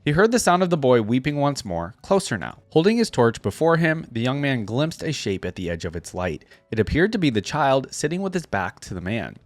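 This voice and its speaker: A man's voice: mid-range voice, sing-song, brisk, few pauses, dry recording. A man hosting a reaction video, moving between reading stories aloud and informal, conversational commentary. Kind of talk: casual reaction commentary mixed with story read-aloud.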